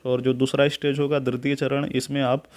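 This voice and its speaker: A man's voice: mid-range voice, flat delivery, brisk, darkish tone. A man presents an informal step-by-step tutorial, instructive, reading out points, explaining them and often checking in with a short tag question.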